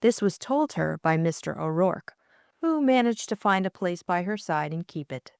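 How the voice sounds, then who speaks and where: Female audiobook narrator, lower register, measured literary delivery, close dry studio-style sound. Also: expressive, vocal fry, clear, resonant tone, dry recording.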